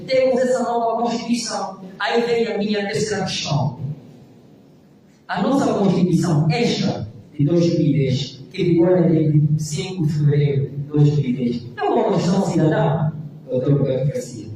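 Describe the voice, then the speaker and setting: A man's voice: high voice, lively intonation, moderate pace, mellow, slight background noise. Emphatic man in echoey room. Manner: emphatic, rhetorical, frequent "não é?" tags. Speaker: civic activist. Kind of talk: debate panel argument. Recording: echoey room, distant mic.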